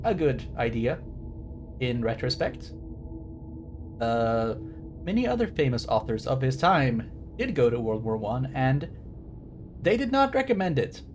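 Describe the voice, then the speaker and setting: A man's voice: big pitch swings, a little slow, pauses often. A man giving bookish, wry commentary as a game streamer, sometimes reading passages out. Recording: clear rumbling background noise.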